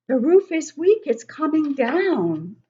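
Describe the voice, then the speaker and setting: Woman as a commanding, high-pitched fire chief. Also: big pitch swings, takes it slowly, warm tone, highs cut off, exaggerated voice. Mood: urgent warning. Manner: emphatic, authoritative calls. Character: commanding male fire chief ordering and reassuring his crew.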